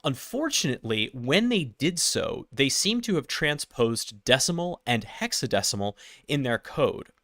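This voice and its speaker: A man's voice: medium pitch, very animated, unhurried, fairly bright tone, dry recording. A man hosting a casual tech news livestream, sniffly with a cold, chatty and laughing between points on a close mic. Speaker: livestream host.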